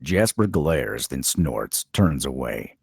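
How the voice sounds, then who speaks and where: Deep, husky male narrator. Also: very animated, slow pace, no room sound, clean studio sound. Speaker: narrator reading stage directions. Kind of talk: scripted dramatic narration.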